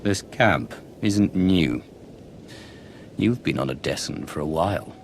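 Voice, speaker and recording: A man's voice: deep voice, animated, slow pace, slight background noise, exaggerated voice. A man voicing a guarded, commanding Sith protagonist in a video game, with a controlled, skeptical, dry delivery. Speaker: video game character voice actor. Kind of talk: scripted dramatic game dialogue.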